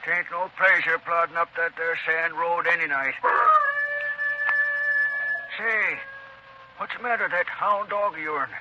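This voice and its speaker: A man's voice: a little high, fairly even intonation, a little slow, long pauses, lightly acted. An actor playing a timid, superstitious country repairman with a rural American accent, folksy and hesitant, in 1930s radio style. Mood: folksy, uneasy. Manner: hesitant, folksy drawling chatter. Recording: dull, noisy vintage 1930s radio recording.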